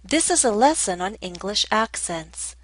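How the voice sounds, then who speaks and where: An American woman teaching English pronunciation, speaking in a measured, clear way with a General American accent. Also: medium pitch, sing-song, a bit bright, no room sound. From United States. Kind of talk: scripted lesson narration.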